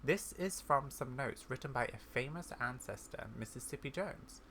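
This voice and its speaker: A man's voice: medium pitch, expressive, moderate pace, fairly bright tone, slight background noise, lightly acted. A man voicing a kindly, bookish old granny scholar from a video game, speaking in a theatrical, wordy storyteller's way. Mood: eager, theatrical.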